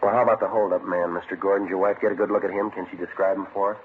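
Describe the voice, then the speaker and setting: A clipped, businesslike American detective sergeant, played by a man in vintage radio drama. He is deadpan and courteous, with a very deep voice and brisk delivery. The recording sounds narrow and muffled. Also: a little hiss or hum, close to an everyday voice. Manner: clipped, deadpan, terse sentences. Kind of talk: 1950s radio police drama acting and narration.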